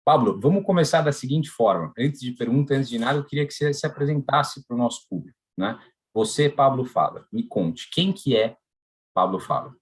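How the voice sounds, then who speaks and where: A man's voice: mid-range voice, expressive, brisk, husky. A man with a Brazilian Portuguese accent hosting a live podcast, welcoming and chatty. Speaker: podcast host.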